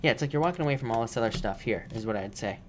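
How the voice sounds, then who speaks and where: A man's mid-pitched voice, roughened by allergies, reading tarot for viewers on a live stream. He speaks loosely and repeats himself, leaning on fillers as he moves from card to card. A faint hiss sits under the recording. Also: expressive, rapid-fire. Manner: casual, repetitive, many fillers. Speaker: tarot reader.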